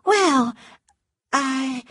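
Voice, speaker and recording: A woman's voice: high-pitched, lively intonation, drawn-out words, sharp tone, slightly rough, dry recording, clean studio sound, pitched above the performer's own voice, full character voice. A woman voicing an anxious, coquettish young Southern woman who pleads sweetly in an audiobook story. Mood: frightened, pleading.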